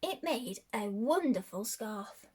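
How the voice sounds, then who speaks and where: Female nursery story reader, high-pitched voice, expressive children's storybook narration. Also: slow pace, slightly rough.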